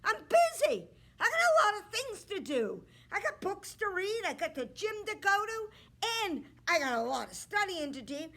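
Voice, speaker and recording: A woman putting on a loud bragging young prince. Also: very high-pitched, very animated, voice pushed up, heavily acted. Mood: indignant. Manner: loud bragging, rapid boasting lists. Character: boastful self-absorbed young prince suitor, male.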